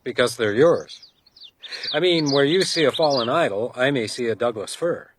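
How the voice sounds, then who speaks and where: A guarded male trail guide in a radio drama, played by an actor, mid-pitched and terse. He sounds wary and understated, with faint outdoor noise and birdsong around him. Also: animated, normal speed, lightly acted. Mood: measured. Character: guarded, wary male wilderness guide, older than his companion. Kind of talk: naturalistic radio drama acting.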